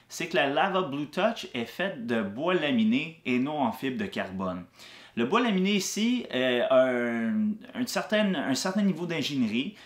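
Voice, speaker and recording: A man giving a casual product review of a guitar, relaxed and hesitant with frequent fillers. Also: mid-range voice. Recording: echoey room.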